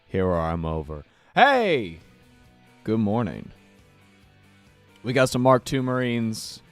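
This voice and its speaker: A man with a slightly hoarse voice, hosting a casual livestream where he paints miniatures and chats playfully with viewers. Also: medium pitch, a bit bright. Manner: playful, chatty, addresses chat directly. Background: faint background music.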